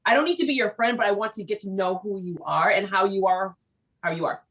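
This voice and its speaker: A woman's voice: medium pitch, fast talker, a bit bright. A woman from the United States hosting an interview show, bubbly and talkative, restarting her sentences often. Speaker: show host. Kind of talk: casual interview chat.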